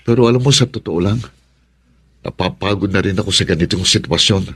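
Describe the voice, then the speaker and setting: An actor playing a reasonable, exasperated fiancé in a Filipino radio drama, speaking earnestly. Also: male voice, low-pitched, fairly quick, fairly bright tone, slightly rough. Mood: subdued, weary. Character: reasonable, exasperated fiancé pleading with stubborn bride.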